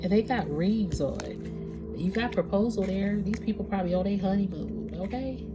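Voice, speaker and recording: A woman's voice: medium pitch, moderate pace, loud music behind the voice. Stuffy-nosed woman giving a casual reading. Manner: casual, conversational, searching for words. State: congested, stuffy nose. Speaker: tarot reader. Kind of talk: spiritual reading for a collective audience.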